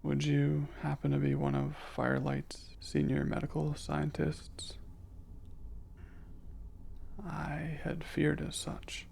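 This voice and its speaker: A man performs a curious alien scientist with cool emotional reserve in an intimate ASMR audio drama. The voice is fairly high for a male, heavily creaky and breathy, often close to a whisper. Phrasing is unhurried, formal and precise, with hesitant pauses. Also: completely flat delivery, a little mellow, a little hiss or hum. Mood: apologetic, formal. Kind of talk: scripted ASMR roleplay, intimate.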